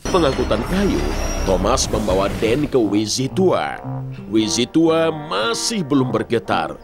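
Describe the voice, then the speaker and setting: Brief: male narrator for kids' train cartoon, expressive, unhurried, wide lively pitch, strong music bed. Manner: expressive storytelling. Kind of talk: scripted children's story narration.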